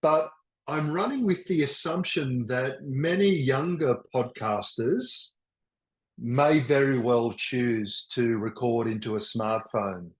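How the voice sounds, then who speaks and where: Loud keen older guy teaching on a video call, mid pitch, echoey room. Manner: loud and enthusiastic; halting, with many restarts. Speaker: workshop presenter. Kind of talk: teaching a webinar. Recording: roomy echo.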